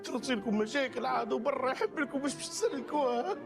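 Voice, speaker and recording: A man acts out an excitable Tunisian husband from a cartoon sitcom, creaky and very animated. He stammers and wheedles his way through sentences, with loud music playing behind him. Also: very high-pitched, quick pace, exaggerated voice. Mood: sheepish, pleading. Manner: stammering, repeating words, laughing easily. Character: excitable, stingy, working-class husband, wheedling and scheming.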